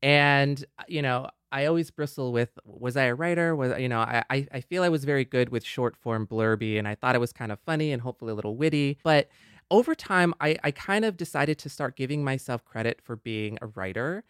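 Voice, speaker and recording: A man's voice: medium pitch, a little fast, fairly bright tone, clean tone, no room sound, clean studio sound. A man co-hosting a podcast in an earnest, wordy, conversational way. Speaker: podcast co-host, former blogger.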